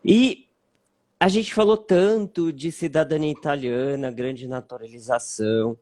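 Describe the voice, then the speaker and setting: A man's voice: a little high, unhurried, darkish tone. A Brazilian man hosting a live online chat, upbeat and chatty, reading comments and asking questions. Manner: upbeat, reads viewer comments aloud. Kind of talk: casual live interview.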